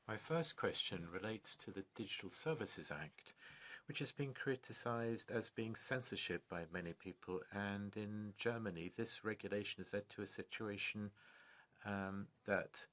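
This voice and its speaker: A man with a low yet bright, forceful voice interprets a lawmaker's question live. His delivery is stop-start, with fillers and broken-off words. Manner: halting, with fillers and restarts. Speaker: interpreter voicing a parliamentary question.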